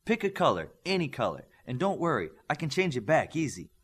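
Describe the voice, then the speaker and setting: A man's voice: fairly high pitch, big pitch swings, fairly quick, fairly bright tone, husky, pitched above the performer's own voice, exaggerated voice. A man voicing a bubbly, cheerful and helpful young shapeshifter girl in an audiobook. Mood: bright, playful.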